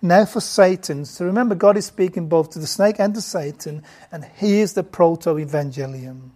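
A woman's voice: on the low side, moderate pace, dark tone. A woman giving a conversational Bible lesson to a group, often restarting her sentences and asking the listeners questions. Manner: informal, stumbling restarts, asks the audience questions. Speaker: Bible teacher.

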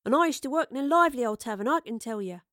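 Woman doing a cheeky high-pitched lass. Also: lively intonation, rapid-fire, a bit bright, dry recording, clean studio sound. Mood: chuckling banter. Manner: chirpy, colloquial, bantering. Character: cheeky, brisk working-class former tavern barmaid turned agent.

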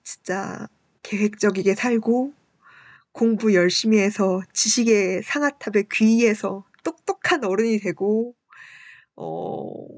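A woman's voice: mid-range voice, dry recording. Candid young woman host, dry close sound. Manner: polite, candid, self-deprecating. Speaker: radio-style show host and university student. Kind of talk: personal reflective talk, reading listener messages.

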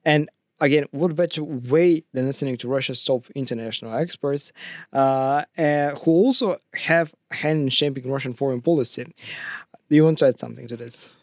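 A man's voice: medium pitch, normal speed, dry recording, studio quality. A young man from Bulgaria with a Bulgarian accent, co-hosting a casual podcast in a hesitant, joking manner. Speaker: podcast co-host. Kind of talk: casual scripted podcast chat.

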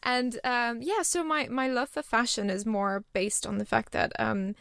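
A woman's voice: a little high, steady tone, unhurried, gravelly, fairly bright tone, no room sound, clean studio sound. A woman in her thirties, raised in Singapore, chatting casually as a guest in an interview and often laughing at herself. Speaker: startup founder, guest.

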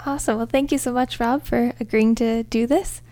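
A woman's voice: fairly high pitch, fairly even intonation, fairly quick, mellow. A young woman hosting a casual podcast interview.

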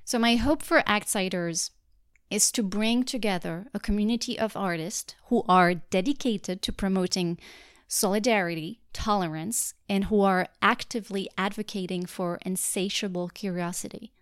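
A woman's voice: mid-range voice, normal speed, clean tone, no room sound, clean studio sound. A young woman from France with a French accent, earnestly reading a scripted podcast trailer as its host.

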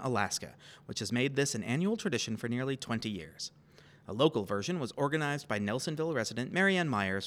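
A man's voice: medium pitch, fairly bright tone. A man hosting a podcast, reading a scripted introduction and credits. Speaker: podcast host. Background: music.

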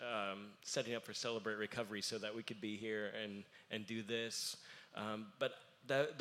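Young guy with a lowish voice, earnest, lots of ums, talking about his family band. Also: pushed and bright. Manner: earnest, halting with many fillers. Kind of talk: casual panel talk.

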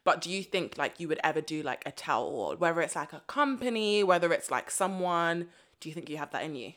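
Lively young woman chatting. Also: low voice, a little fast. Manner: animated, slangy, laughs often. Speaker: podcast co-host. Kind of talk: casual chat.

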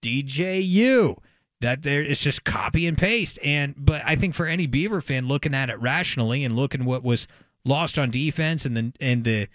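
A man's voice: mid-range voice, lively intonation, moderate pace, a bit bright, no echo. An American man hosting a sports talk show, analyzing in a casual, conversational way as he thinks aloud.

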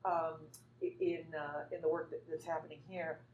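Low-voiced woman artist, rambling speech. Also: flat delivery, normal speed, darkish tone, muffled recording, slight background noise. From Northern California. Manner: rambling, many fillers and restarts. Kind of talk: conversational artist talk.

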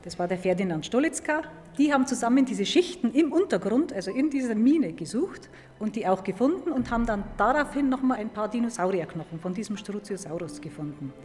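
A woman's voice: a little high, lively intonation, fairly quick, hardly any pauses, darkish tone. Woman explaining science in an echoing hall. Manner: clear, didactic, emphatic repetition. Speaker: museum paleontologist. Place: large echoing indoor museum hall. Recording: reverberant hall with background noise.

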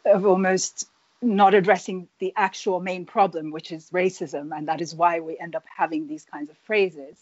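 A woman who grew up in Nigeria speaks in a lowish voice as an interview guest. She talks thoughtfully, pausing often and restarting her sentences. The sound is dry and a little dull. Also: steady tone, darkish tone, no room sound. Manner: hesitant, many fillers and restarts. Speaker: author, guest.

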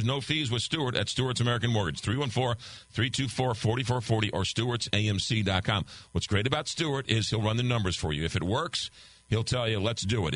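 A man's voice: mid-range voice, fairly bright tone. An older man hosting a call-in talk radio show, loosely reading listener texts and ad copy while losing his voice. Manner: loose, conversational, reads listener texts aloud. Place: radio studio. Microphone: studio microphone.

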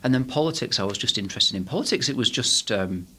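A man's voice: mid-range voice, lively intonation, fast, pushed and bright, slightly rough. A man in his forties from England with a Manchester accent, a podcast guest talking through his background with many fillers and restarts. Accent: Manchester English. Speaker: guest, head of a management body. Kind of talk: podcast interview.

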